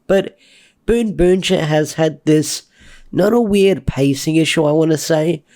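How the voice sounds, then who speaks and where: A man's voice: fairly high pitch, measured pace, darkish tone. A man with a stuffy, snuffly voice from a cold, casually reviewing a TV episode in an enthusiastic, rambling way. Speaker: TV show reviewer.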